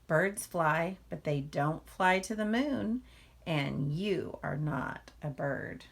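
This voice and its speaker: Woman reading a patient, teasing mother bear, creaky voice. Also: deep voice, animated, slow, heavily acted. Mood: doubtful.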